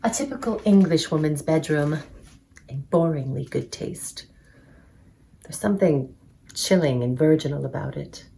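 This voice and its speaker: A woman plays an inquisitive, tart sister in a novel dramatization. Her voice is soft-edged and somewhat low, with arch, animated swings as she sizes people up. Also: normal speed, creaky, long pauses. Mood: arch, suspicious. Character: nosy, tart younger sister sizing up rivals.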